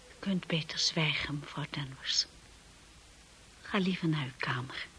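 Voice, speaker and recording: An actress playing an anxious, timid young wife in a radio drama, earnest and breathy. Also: female voice, sits low, a little slow, sharp tone, whispered. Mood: hurt, indignant. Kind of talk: radio drama acting with narration. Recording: hissy old radio play recording.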